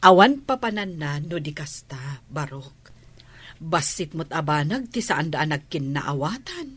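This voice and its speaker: A woman acting a concerned older female relative who advises gently in an Ilocano radio drama. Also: sits low, big pitch swings, unhurried, slightly rough, exaggerated voice.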